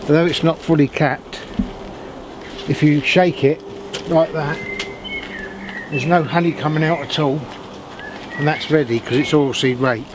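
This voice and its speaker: A man's voice: a little high, fairly even intonation, measured pace, long pauses. A middle-aged man casually explaining hands-on beekeeping work as he does it. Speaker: beekeeper demonstrating his work. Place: outdoors in a wooded apiary. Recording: clear outdoor noise, insects and birds. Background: buzzing bees and birdsong.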